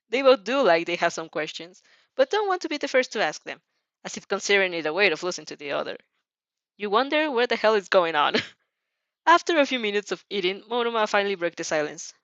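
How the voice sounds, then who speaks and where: A woman's voice: medium pitch, dry recording, muffled recording. Giggly woman reading fan fiction. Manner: giggly, expressive, breaks off to comment. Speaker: fan fiction narrator and reactor. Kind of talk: dramatic reading with casual reactions.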